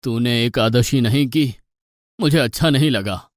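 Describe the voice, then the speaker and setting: A man playing a stern, pious old ashram guru in an animated moral story, admonishing and patient but exasperated. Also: mid-range voice, measured pace, no room sound, studio quality, natural voice. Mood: reproachful. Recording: music bed behind the voice.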